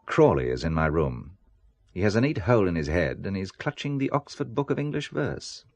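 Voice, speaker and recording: Wary, sardonic spy, very animated. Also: male voice, sits low, brisk, no room sound, exaggerated voice. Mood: grimly dry. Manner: dry, sardonic, hesitant when probing. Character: dry, wary, quick-witted amateur spy businessman. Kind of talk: audio drama acting.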